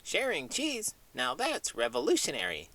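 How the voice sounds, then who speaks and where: Man doing a squeaky cheeky mouse. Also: moderate pace, heavily acted. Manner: high squeaky excited voice. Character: cheese-loving mouse, small, cheeky and jokey. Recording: music playing behind.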